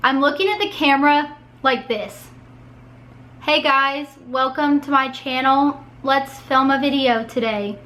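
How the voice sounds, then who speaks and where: A young woman with a cold, hoarse and a bit nasal, chatting in a self-mocking reaction commentary as a YouTuber. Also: sits high, a little slow, a bit bright.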